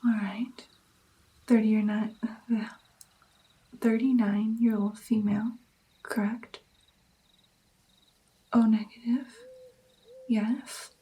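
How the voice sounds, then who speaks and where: A woman doing whispered ASMR roleplay as a gentle, caring vampire mentor, with an intimate, breathy delivery. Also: a little high, little pitch movement, slow, long pauses, poor audio.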